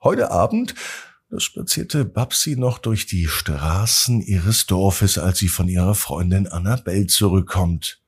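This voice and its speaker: A man telling a children's bedtime story as host, gently addressing his young listeners directly. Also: low voice, animated, a little slow, breathy edge.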